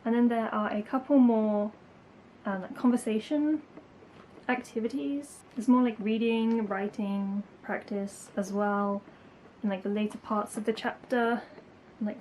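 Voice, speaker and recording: A woman's voice: on the high side, a little mellow, a little hiss or hum, rough recording. Chatty young woman. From England. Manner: chatty, lots of likes and ums, light laughs. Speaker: language-learning YouTuber. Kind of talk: casual chat to camera.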